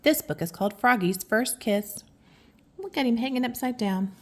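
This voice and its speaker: A woman reading a picture book aloud to children, affectionate and expressive, with chatty asides and exclamations. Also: on the high side, moderate pace. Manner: affectionate, gasps and exclaims in reaction to the story. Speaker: children's story reader and host.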